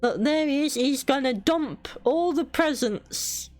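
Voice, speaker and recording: Slow-reading British woman, higher voice. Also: lively intonation, darkish tone, faint music behind. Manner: cheeky, playful commentary. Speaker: streamer. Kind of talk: bedtime story reading with casual asides.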